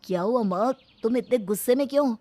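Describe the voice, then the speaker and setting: A woman's voice: a little high, fairly quick, warm tone, close to an everyday voice. A woman voicing a fed-up young husband in a scripted story, stern and reproachful.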